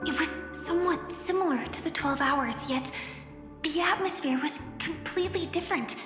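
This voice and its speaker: A woman playing a gentle, earnest, pensive girl in a video game, with measured lines. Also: very high voice. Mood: pensive, wistful. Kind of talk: scripted game dialogue. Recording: long echoing reverb, faint music.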